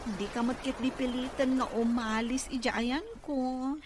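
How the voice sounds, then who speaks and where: A woman's voice: high voice, fairly even intonation, normal speed, lightly acted. A woman with a Filipino accent playing an affectionate, coaxing godmother in an Ilocano radio drama. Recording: noisy ambient background.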